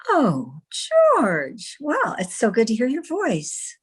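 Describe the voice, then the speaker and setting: A woman playing a poised, smitten newspaperwoman in an old-time radio romance, measured, tender and ladylike. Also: on the high side, huge pitch swings, slightly rough, exaggerated voice. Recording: video-call radio play recording.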